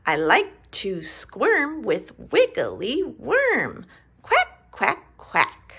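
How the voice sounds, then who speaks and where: A woman voicing a cheerful little duckling from a picture book, reading slowly in a sing-song way and squealing high on the quacks. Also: huge pitch swings, gravelly, fairly bright tone, husky, exaggerated voice. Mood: playful.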